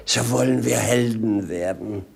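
An actor playing a cheeky, idle, punning companion in a 1950s German radio play, with theatrical, singsong clowning. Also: male voice, mid-range voice, a little slow, creaky, slightly rough, exaggerated voice. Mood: playful.

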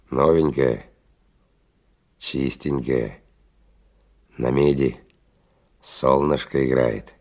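An actor playing a weary, rough stalker who recounts a dangerous expedition in halting, hushed, intense phrases in a radio play. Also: male voice, rumbling low, heavily acted. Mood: weary, absorbed. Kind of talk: dramatic first-person radio play narration. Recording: 1990 radio play with music underneath.

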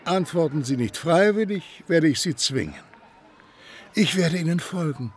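A man's voice: fairly high pitch, lively intonation, measured pace, slightly rough. An actor playing an intense, sardonic man who presses another with insistent, mocking questions in a German radio drama.

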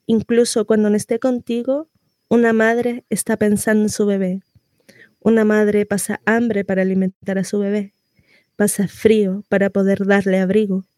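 A woman performing a dramatic monologue as a devoted mother counselling her daughter, with deliberate pauses. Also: medium pitch, darkish tone, no echo, close to an everyday voice. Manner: slow, deliberate, pausing delivery. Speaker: actress.

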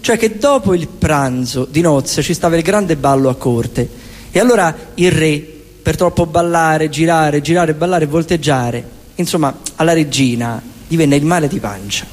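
A man's voice: fairly high pitch, moderate pace. A man with a Roman Italian accent performing live comic musical storytelling in a colloquial, theatrical way. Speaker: stage performer and singer-songwriter. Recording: reverberant live stage with music. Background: guitar music.

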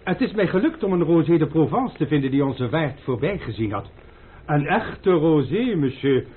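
An actor playing a formal, pedantic servant and food connoisseur, deferential and precise, in a historical radio play. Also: male voice, on the high side, very animated, moderate pace. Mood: fussy, knowing. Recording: tinny, band-limited old radio drama with noise.

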